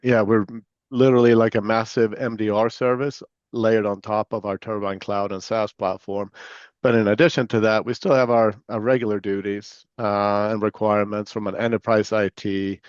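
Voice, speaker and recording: A man from Sweden with a Swedish accent blended with American Southern tones, answering interview questions in a relaxed, filler-filled way. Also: on the low side, no room sound, lo-fi sound. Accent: Swedish mixed with American Southern. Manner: relaxed, many fillers and restarts. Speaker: guest, security executive.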